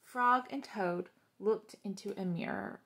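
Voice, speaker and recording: A woman's voice: mid-range voice, unhurried, a bit bright. A young adult woman reading a children's story aloud, with simple explanatory asides to young listeners. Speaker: storytime reader. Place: small living room, on a couch indoors.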